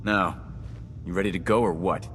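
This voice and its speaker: An actor playing a gruff, hostile military officer in a video game, curt and condescending as he hands out orders. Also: low voice, fairly even intonation, normal speed. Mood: stern, suspicious. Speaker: military officer handing out assignments. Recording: loud music behind the voice.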